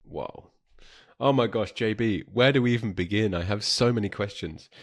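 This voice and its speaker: Low-voiced southern English man, podcast host. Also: moderate pace. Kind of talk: conversational interviewing.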